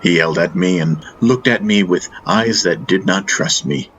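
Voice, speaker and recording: A man reading a first-person fantasy audiobook as narrator, in a solemn, deliberate, dramatic style. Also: low-pitched, a bit bright, a little hiss or hum, low-quality recording. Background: faint music.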